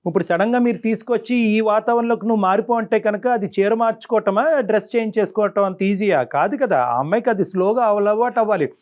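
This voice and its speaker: A man's voice: sits high, quick pace, few pauses, a little mellow. A man giving emphatic advice as a counsellor on a talk show, repeating words for stress, in a dull, muffled recording. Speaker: family counsellor.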